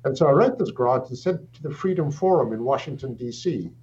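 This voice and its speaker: A middle-aged man with a South African accent, a psychiatrist answering an interview question with measured, thoughtful speech and frequent pauses and restarts. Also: medium pitch, expressive, darkish tone. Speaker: guest, psychiatrist. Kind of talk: interview answer, recounting background. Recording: dull lo-fi audio with faint hum.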